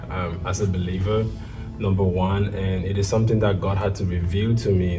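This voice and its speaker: A man's voice: lowish voice, far from the mic. A man from Cameroon, a co-host and apostle, teaching on scripture in an earnest way that builds to fervent emphasis.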